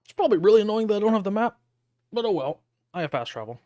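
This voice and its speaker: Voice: mid-range voice, sing-song, rapid-fire, warm tone, no echo. A streamer giving casual, offhand live game commentary with sweary asides. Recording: dry voice over game music.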